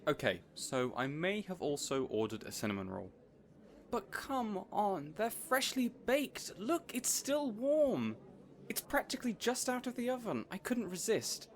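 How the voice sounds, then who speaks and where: A man playing a boyish, caring supervillain boss in an audio roleplay, earnest and rambling, hesitant yet excitable. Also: high voice, unhurried, a little hiss or hum, exaggerated voice. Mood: excited, sheepish.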